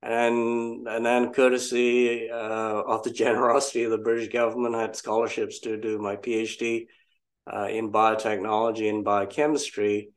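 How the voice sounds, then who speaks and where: A man's voice: mid-range voice, completely flat delivery, normal speed. An older man from Malaysia, a guest entrepreneur telling his story in a casual interview, reflective and self-deprecating.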